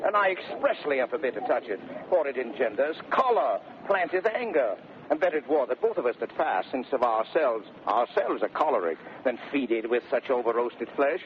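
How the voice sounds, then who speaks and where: An actor playing a domineering, blustering Shakespearean husband in 1930s radio style, declaiming theatrically between bellows and smooth mock-sweetness. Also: male voice, medium pitch, sing-song, normal speed, close to an everyday voice. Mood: smug scheming. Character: domineering, blustering Shakespearean husband bullying his servants and bride. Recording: noisy, muffled 1930s radio recording.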